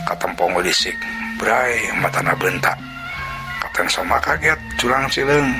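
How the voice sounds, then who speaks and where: Gravelly Sundanese storyteller over music. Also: male voice, sits low. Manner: vivid, sound-word narration. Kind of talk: dramatic folk tale narration. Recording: narration over loud music.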